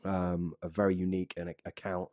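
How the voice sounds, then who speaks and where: British bloke hosting a casual podcast chat, lowish voice, dry close sound, matey. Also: male voice, little pitch movement, a little fast, darkish tone, dry recording. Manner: matey, informal. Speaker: podcast host.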